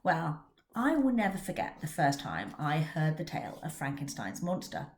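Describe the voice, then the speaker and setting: Expressive middle-aged woman, echoey room. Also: low voice, moderate pace. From England. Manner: expressive, storytelling delivery. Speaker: children's author. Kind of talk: scripted talk about her book.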